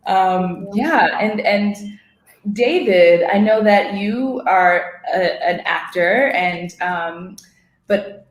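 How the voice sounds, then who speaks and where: A woman's voice: medium pitch, expressive, drawn-out words, pauses often. Woman host in an echoey room. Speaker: interviewer and host. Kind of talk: casual conversational hosting. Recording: echoey, roomy sound.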